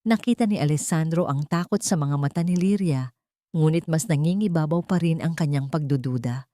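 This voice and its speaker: A woman's voice: sits low, fairly quick, warm tone, no echo. A woman narrating a dramatic scripted love story as an audiobook.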